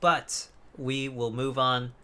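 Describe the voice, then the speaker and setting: A man's voice: medium pitch, steady tone, slow, pushed and bright, clean tone. A man co-hosting a casual podcast, talking in a rambling, jokey way into a close desk microphone. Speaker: podcast co-host. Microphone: close USB desk microphone on an arm.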